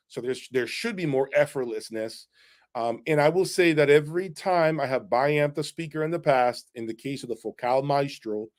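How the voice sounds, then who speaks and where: A man hosting an unscripted audio review livestream, talking in an emphatic, opinionated way and often checking in with "okay?". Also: a little high. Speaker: audio equipment reviewer and channel host. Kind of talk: unscripted livestream talk answering viewers' questions.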